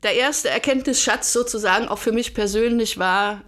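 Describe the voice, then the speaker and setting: A woman's voice: on the high side, normal speed, fairly bright tone. Woman from Berlin explaining things in an interview. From Germany, Berlin. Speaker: podcast guest, coach. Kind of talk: conversational interview answers.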